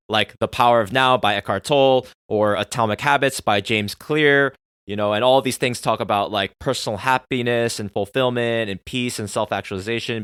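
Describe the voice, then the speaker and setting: A man in his thirties, a native Korean speaker, hosting a solo podcast and talking earnestly, moving between soft musing and loud excited bursts. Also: medium pitch, smooth, dry recording, clean studio sound. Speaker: podcast host.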